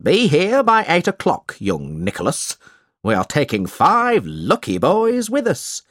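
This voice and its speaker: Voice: mid-range voice, huge pitch swings, measured pace, a bit bright, no room sound, studio quality, voice pushed up, exaggerated voice. A storybook reader voicing a stingy, blustering middle-aged male schoolmaster who talks in a bossy, falsely generous way. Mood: brisk.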